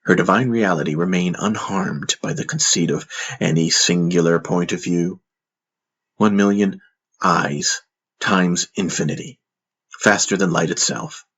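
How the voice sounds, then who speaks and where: A man reading dramatic scripted audiobook narration in a solemn, measured manner. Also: low-pitched, fairly bright tone, husky. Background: music under the voice.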